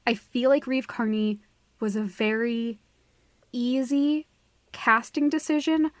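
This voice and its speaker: A woman's voice: a little high, steady tone, takes it slowly, no echo. A woman co-hosting a podcast, giving her opinions casually in a frank, emphatic way. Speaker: podcast co-host.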